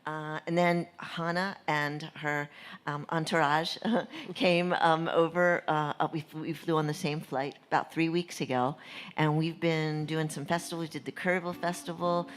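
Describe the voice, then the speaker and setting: Low-voiced chatty woman musician. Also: steady tone, moderate pace. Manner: warm, chatty, laughs a lot. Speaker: touring singer-songwriter, guest. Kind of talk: casual radio interview.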